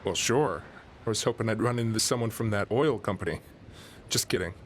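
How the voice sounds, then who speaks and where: An actor playing a folksy small-town fisherman who rambles and corrects himself in a deadpan way. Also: fairly low pitch, fairly quick, slight background noise, lightly acted. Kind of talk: radio drama interview.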